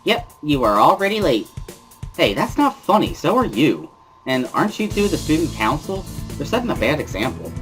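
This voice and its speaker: Man acting a teenage schoolgirl, raised pitch, bubbly, pushy, sing-song coaxing, visual novel reading, music behind. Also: very animated, normal speed, fairly bright tone. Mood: playful scolding.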